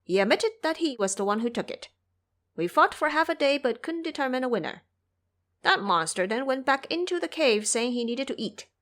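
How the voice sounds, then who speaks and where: A woman's voice: sits high, expressive, brisk, fairly bright tone. A woman voicing a boastful, protective male monkey disciple in an audio drama, with a swaggering, emphatic delivery. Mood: boastful reporting.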